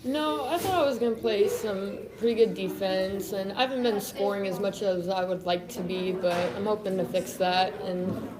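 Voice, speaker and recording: A woman's voice: medium pitch, moderate pace, low-quality recording. A teenage girl basketball player answering in a plain, matter-of-fact way. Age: teens. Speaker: high school basketball player. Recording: crowd noise in a gym.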